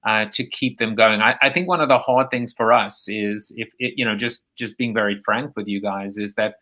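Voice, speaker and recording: A man's voice: on the low side, moderate pace, sharp tone. A man with a South African accent hosting a live online interview show from home, talking in a rambling way with many restarts and fillers. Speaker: host. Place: home, remote video call.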